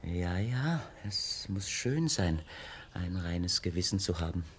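An actor playing a wealthy, idle young bachelor in a 1960s radio play, brooding and evasive, speaking in a whimsical, flowery, mannered way with musing pauses. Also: male voice, sits low, lively intonation, fairly bright tone, slightly rough, heavily acted. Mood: wistful, brooding. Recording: noisy vintage 1960 radio recording.

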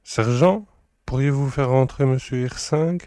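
A commanding male admiral played by a man in a science fiction audio drama speaks with courteous irony. His voice is dark and mellow, recorded close and dry. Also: medium pitch, a little slow, no echo, natural voice. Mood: businesslike. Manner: courteous, wry, authoritative. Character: ironic, commanding male admiral and space emperor. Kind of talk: French audio drama acting.

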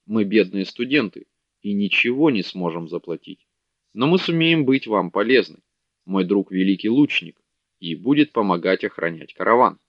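A man's voice: fairly low pitch, lively intonation, moderate pace, no echo. A man voicing an eager, clever young male scholar making a persuasive case, on a dull, dry audiobook recording. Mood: polite. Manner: earnest, persuasive.

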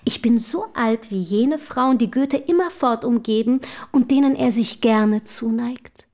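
A woman's voice: fairly high pitch, measured pace, darkish tone. An actress in a German radio play playing a forward, inquisitive young woman of the Romantic era, with formal, polite, probing diction. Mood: self-assured.

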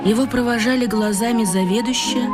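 High-voiced woman narrating over loud music. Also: brisk. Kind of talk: scripted radio play narration.

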